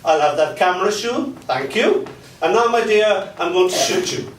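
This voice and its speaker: A man's voice: sits high, expressive, moderate pace, voice pushed up. A man voicing a suave, posh fairy-tale prince, mock-polite and coolly menacing, in a live poetry performance. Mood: suave menace. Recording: echoey live venue, distant mic, background noise.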